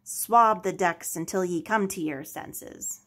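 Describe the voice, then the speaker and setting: A woman voicing a gruff, bossy male pirate rabbit captain from a storybook, with blustering commands. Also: fairly low pitch, slow pace, fairly bright tone, a little hiss or hum. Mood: scolding. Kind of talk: storybook character voice.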